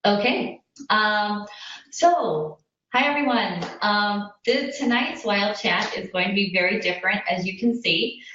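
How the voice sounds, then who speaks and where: A woman's voice: mid-range voice, fairly bright tone. An American woman hosting a casual online interview in an effusive, rambling way. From Indiana, United States. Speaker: host of an online parenting community chat. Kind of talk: casual video chat interview. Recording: very echoey room, distant muffled mic.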